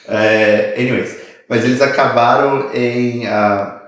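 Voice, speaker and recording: A Brazilian man chatting casually as a podcast guest, speaking haltingly and dropping in English words. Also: mid-range voice, fairly even intonation, a bit bright. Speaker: guest immigration consultant. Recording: very echoey room.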